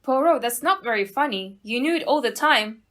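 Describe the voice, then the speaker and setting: A woman playing an excitable, gruff police inspector in an audio play, blustering and commanding. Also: on the high side, moderate pace. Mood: shocked, indignant.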